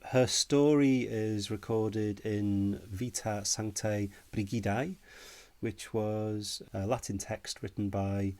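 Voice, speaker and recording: A man with a cold and a snuffly voice, giving a measured evening lecture with many ums and uhs. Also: fairly low pitch, fairly even intonation, darkish tone. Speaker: lecturer on Celtic myth.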